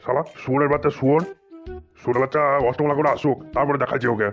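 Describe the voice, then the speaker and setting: A man's voice: mid-range voice, steady tone, racing, lo-fi sound, soft background music, close to an everyday voice. A man voicing a blustering, foul-mouthed older village father in a comedic cartoon. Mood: indignant.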